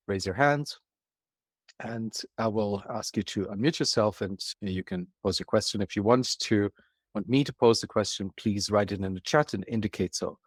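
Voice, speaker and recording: A man with a fairly low voice welcomes people to an online town hall. He phrases things formally and deliberately. The sound is dry, with little room in it. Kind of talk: formal spoken welcome and introduction. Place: online video call.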